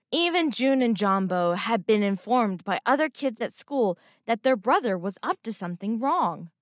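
A woman reading a scripted moral story for children in a deliberate, expressive storytelling manner. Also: mid-range voice, no room sound, studio quality. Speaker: narrator.

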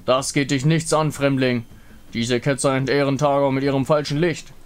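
A man's voice: mid-range voice, level tone, normal speed, clear, resonant tone, close to an everyday voice. A man playing a contemptuous, bullying zealot soldier with a sneering manner. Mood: sneering contempt.

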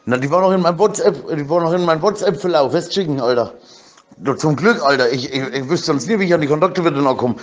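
A man's voice: on the high side, fast, no echo. A man chatting casually in an agitated, rambling way, stammering over repeated words, with slangy, crude swearing. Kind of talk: casual chat, agitated rant.

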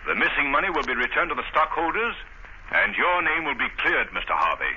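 Gentleman detective, 1930s radio serial: assured, measured, animated delivery, muffled vintage sound. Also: a little high, noisy background. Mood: intent. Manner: measured, authoritative, explanatory. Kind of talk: 1930s radio drama acting.

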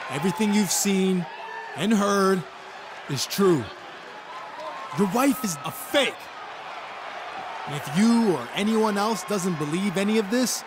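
Cocky taunting wrestler champ promo for a game, highish voice, loud crowd behind. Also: male voice. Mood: taunting, defiant. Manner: boastful, deliberate speech-making to a crowd. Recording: loud arena crowd noise.

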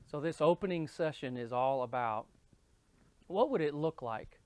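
A man's voice: on the high side, lively intonation, moderate pace, clear, resonant tone, no room sound. A man in his fifties, a minister, telling his story and explaining in an earnest, conversational way, recorded on a lapel mic. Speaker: minister and church leadership speaker. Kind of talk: interview-style storytelling and explaining. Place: indoor studio with dark backdrop.

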